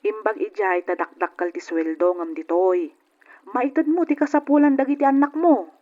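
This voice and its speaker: A woman's voice: mid-range voice, steady tone, normal speed, close to an everyday voice. A woman acting a generous, outspoken cousin in an old radio drama, frank and persuasive in her talk. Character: generous, outspoken female cousin back from abroad. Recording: dull lo-fi old radio recording.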